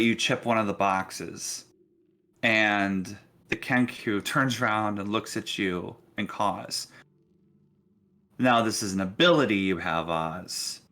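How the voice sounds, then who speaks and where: A man with a fairly low, forward, bright voice runs a tabletop role-playing game. He speaks casually as game master, moving between narration and rules.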